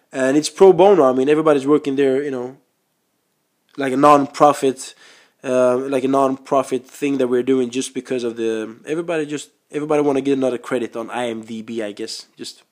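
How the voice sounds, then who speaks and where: A man's voice: mid-range voice, a little mellow. Swedish-born man rambling with a cold. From Sweden. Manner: rambling, many ums and restarts. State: has a cold. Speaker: podcast host and stand-up comedian. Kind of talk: casual monologue.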